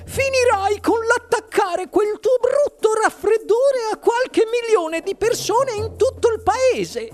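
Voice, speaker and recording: A woman voicing a frantic, scolding mother in a storybook, with shrill, drawn-out cries. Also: lively intonation, darkish tone, soft background music, exaggerated voice. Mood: shrieking.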